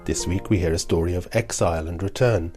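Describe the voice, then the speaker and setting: A male radio host interviewing a guest in a studio, asking gentle, measured questions. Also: sits low, over loud music.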